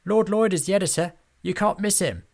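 A busy herald in a hurry who points the way, as a man reading a storybook puts him on. The voice is pitched well above the reader's own, with a gravelly edge underneath. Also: brisk. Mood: helpful. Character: rushed, helpful herald.

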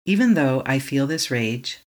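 Meditation guide, middle pitch, slow, calm, short repeated affirmations.

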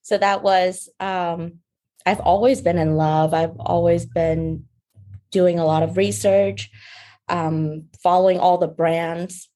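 Young woman from Vietnam, beauty founder; soft, unhurried guest storytelling with small laughs. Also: on the low side, steady tone. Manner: soft-spoken, fillers and restarts, light laughs. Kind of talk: casual interview talk.

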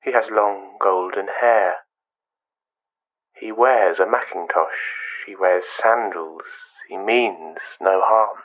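A wistful yet controlling man of a radio play, talks slowly in measured fragments with quiet menace. His voice is on the low side and the sound slightly dull. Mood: quietly menacing. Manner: measured, fragmented, repetitive phrasing. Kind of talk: radio play monologue and dialogue.

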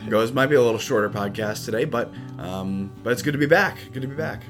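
A man with a raspy, recovering voice, a priest co-hosting a podcast, chatting casually and rambling with plenty of fillers. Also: quick pace, music in the background. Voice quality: low, husky. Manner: relaxed, rambling with many fillers. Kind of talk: casual chat.

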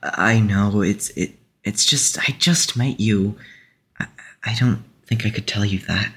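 A man's voice: fairly low pitch, a little slow, a bit bright, slightly rough, exaggerated voice. A man playing a shy, guarded, awkward young man who stammers hesitantly in an audio drama. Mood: nervous, guarded.

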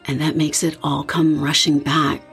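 A woman's voice: deep voice, little pitch movement, moderate pace, gravelly, a bit bright, slightly rough, soft background music, heavily acted. A woman playing a young partygoer who is reflective and a little uneasy, in an audio horror drama. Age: young adult. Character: young woman partygoer, uneasy and open about eerie feelings.

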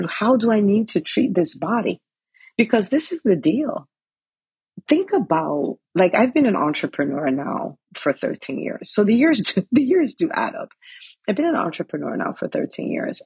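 A woman's voice: on the low side, creaky, darkish tone, breathy edge, no echo. A woman in her forties giving an earnest motivational talk, full of "right?" and "like". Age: 40s. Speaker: coach for physicians in business.

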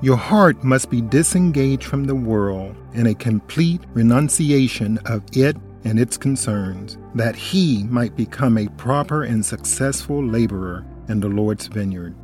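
A man's voice: mid-range voice, expressive, measured pace, hardly any pauses, a little mellow. Solemn man reading scripture over music. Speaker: co-host and Bible study presenter. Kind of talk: scripted radio Bible study.

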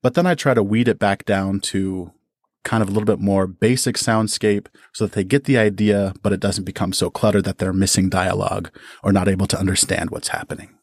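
Guy on a podcast explaining his creative work, lowish voice, keen, very dry recording. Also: steady tone, brisk, no room sound. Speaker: audio drama producer and performer, podcast guest. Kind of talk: conversational interview answers, explaining.

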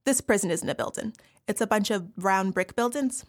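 Actress as a dry, matter-of-fact inmate narrator, medium pitch. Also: female voice, normal speed, close to an everyday voice. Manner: wry, dismissive, matter-of-fact. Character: wry woman inmate narrating prison life. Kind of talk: audio play monologue.

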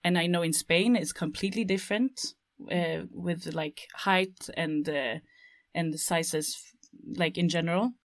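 Young Swedish woman, low voice. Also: a little slow, dry recording, studio quality. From Sweden. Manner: hesitant, many "like" fillers. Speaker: guest, textile design student. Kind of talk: casual chat.

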